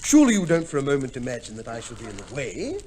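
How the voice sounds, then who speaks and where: An actor playing a teasing, mock-courteous returned husband in a 1950s radio play, with an upper-class British accent and a breezy, theatrical manner. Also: male voice, mid-range voice, huge pitch swings, fast, dark tone. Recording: noisy old radio recording.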